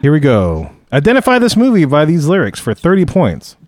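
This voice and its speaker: A man hosting a casual podcast game show, reading song lyrics aloud and bursting into excited praise. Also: brisk, dry recording, clean studio sound. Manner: reads lyrics aloud in a low flat tone, then jumps up excited.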